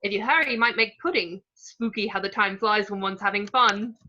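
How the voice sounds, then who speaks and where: Woman playing vain male wizard professor, cheery, pompous, forceful bright tone, dull lo-fi recording, table read. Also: mid-range voice, brisk, slight background noise, natural voice. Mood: breezy, puzzled. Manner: pompous, breezy, self-admiring. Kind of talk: amateur script table read.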